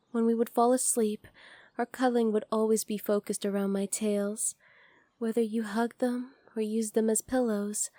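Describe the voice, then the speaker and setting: A woman voicing a devoted, clingy kitsune fox spirit in an ASMR roleplay, speaking softly and intimately straight to the listener. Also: medium pitch, no room sound, lightly acted. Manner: soft, tender, intimate, addressing the listener directly.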